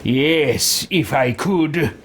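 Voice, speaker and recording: A man roleplaying a haughty, commanding fantasy ruler in a video game, imperious and deliberate. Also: fairly high pitch, a bit bright, a little hiss or hum. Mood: smug. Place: small room with bookshelves.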